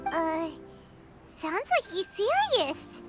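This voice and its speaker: A woman voicing a tiny, childlike fairy companion in an anime-style game, squeaky, eager and expressive. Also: drawn-out words, heavily acted. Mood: startled, unsure. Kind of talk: cute anime game voice acting. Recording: prominent music behind the voice.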